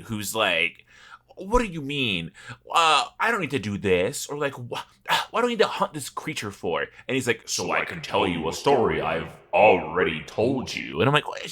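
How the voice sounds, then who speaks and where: Animated male podcast host, bright voice. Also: mid-range voice, husky. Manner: animated, exclamatory, swears freely. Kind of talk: casual comedic podcast chat.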